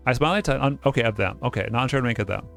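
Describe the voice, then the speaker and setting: Guy playing thru a game, reads bits out, goofs off and wanders, medium voice, soft music behind. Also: medium pitch, rapid-fire, vocal fry. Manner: joking, digressive. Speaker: game playthrough host. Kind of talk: casual gaming commentary and reading aloud.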